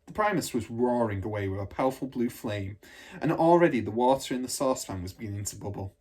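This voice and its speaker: A man's voice: mid-range voice, lively intonation, fast, darkish tone, slightly rough. A man narrating a children's story from a book. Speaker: storybook reader.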